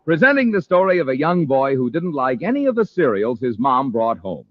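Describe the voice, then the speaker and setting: A man's voice: medium pitch, sing-song, a little fast, no echo. A man with an American accent announcing and narrating in an emphatic, showy 1950s radio style. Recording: muffled, faintly hissy old radio.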